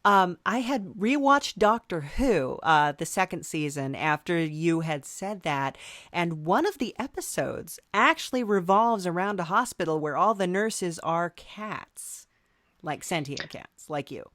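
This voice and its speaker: A woman with a fairly low voice hosts a casual interview podcast. She is playfully indulgent and a bit hesitant. The sound is close and dry. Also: big pitch swings, a little slow, fairly bright tone, no echo. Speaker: podcast host.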